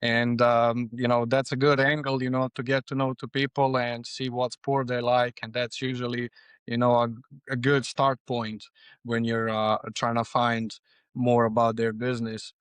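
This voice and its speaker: A man's voice: mid-range voice, little pitch movement, normal speed, a bit bright, clean tone, no room sound. A man from Serbia with a Serbian accent, speaking English as a second language, answering conversationally as a podcast guest. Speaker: podcast guest, freight agent.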